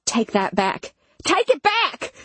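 A bratty girl in an audiobook story, acted by a woman, pitched well above a normal adult voice. She is stubborn, demanding and quick to snap, with a fierce temper. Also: sing-song, husky, dry recording, full character voice. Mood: shrill outrage. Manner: snappish and demanding. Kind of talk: dramatised audiobook dialogue.

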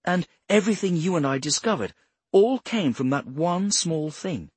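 A man's voice: a little high, lively intonation, normal speed, husky, no echo, voice pushed up. A man voicing an earnest, brainy young woman analyst who explains things precisely and insistently in an audiobook.

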